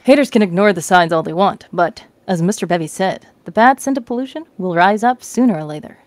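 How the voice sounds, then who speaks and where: A woman's voice: medium pitch, expressive, brisk, darkish tone, no echo, lightly acted. A woman voicing a boastful, zealous male billionaire in a read-aloud story. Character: boastful male billionaire preaching eco-friendly future.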